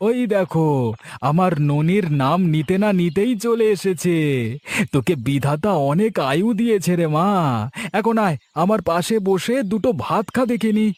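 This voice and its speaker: A man's voice: high voice, moderate pace, a little mellow, natural voice. A man voicing a good-natured village fisherman and father in a cartoon, hearty and proud of his daughter. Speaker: voice actor. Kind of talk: cartoon dubbing. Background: music.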